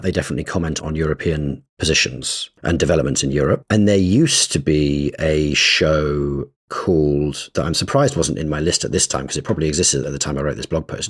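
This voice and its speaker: Deep husky guy cohosting a podcast, eager, keeps restarting his sentences. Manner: animated, restarts often, stresses words. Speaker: podcast co-host. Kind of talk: casual chat.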